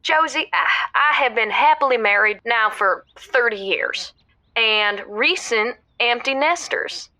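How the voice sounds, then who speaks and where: A woman playing a middle-aged housewife calling a radio show in an audio drama, rambling, earnest and excitable. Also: fairly high pitch, measured pace. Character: chatty middle-aged Southern housewife caller, proud and giddy.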